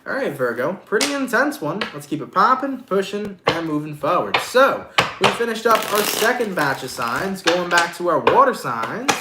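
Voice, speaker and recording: A man doing a casual tarot reading in a lively, slangy, affectionate way, sounding congested and nasal. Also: high voice, normal speed, echoey room, very noisy. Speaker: tarot reader.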